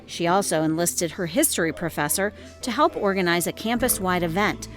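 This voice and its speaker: A woman's voice: lowish voice, fairly quick. A woman with an American accent reads scripted narration as a news reporter. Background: music.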